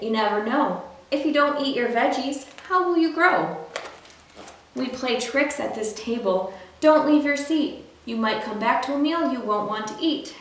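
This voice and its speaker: A woman reading a rhyming picture book aloud for young children in an expressive storyteller's delivery. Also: a little high. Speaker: library storyteller. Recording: very echoey room, distant mic, faint noise.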